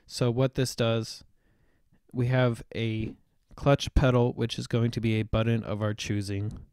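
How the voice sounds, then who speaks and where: A young man explaining software setup step by step in a screen-recorded tutorial voice-over, with the odd "um". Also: mid-range voice, flat delivery, unhurried, clear, resonant tone, no echo, studio quality. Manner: explanatory, step-by-step, with occasional fillers. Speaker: tutorial presenter.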